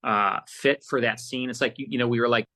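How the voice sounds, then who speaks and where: A man with a bright, forceful voice at an ordinary male pitch, talking as a guest in a casual interview. He tells rambling, animated stories, restarting sentences and quoting conversations as he goes. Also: brisk. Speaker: interview guest, audio drama producer.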